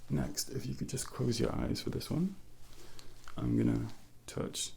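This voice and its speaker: Soft-spoken, creaky-voiced man doing ASMR. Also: medium pitch, a little hiss or hum. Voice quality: soft, breathy, often near-whispered. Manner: gentle, reassuring, unhurried instructions. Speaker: ASMR performer role-playing a doctor. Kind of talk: soft-spoken ASMR medical exam role-play.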